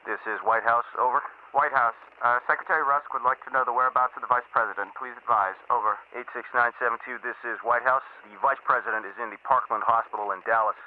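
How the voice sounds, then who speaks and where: Tinny, crackly radio operator. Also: male voice, mid-range voice, quick pace, hardly any pauses. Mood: brisk, businesslike. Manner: clipped, procedural, flat. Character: brisk, formal military radio operator. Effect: two-way radio filter. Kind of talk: audio drama radio exchange. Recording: tinny, noisy two-way radio link.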